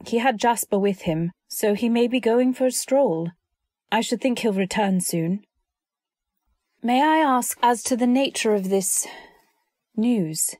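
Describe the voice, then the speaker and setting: A woman's voice: mid-range voice, darkish tone, no room sound, clean studio sound, natural voice. A woman playing an anxious, devoted wife who narrates her story in dramatic old-time radio style. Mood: polite, guarded. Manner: dramatic, earnest delivery. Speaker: narrator and actor.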